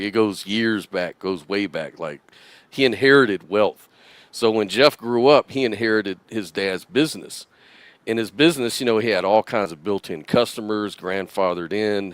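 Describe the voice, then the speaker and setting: A man telling a rambling story in a relaxed, casual way on a podcast. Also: on the low side, clean tone, no echo.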